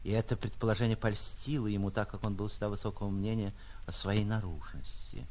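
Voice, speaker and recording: A man, an actor reading a literary short story in an expressive, mock-grandiose theatrical style. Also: lowish voice, a little fast, slight background noise. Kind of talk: theatrical literary audiobook narration for radio.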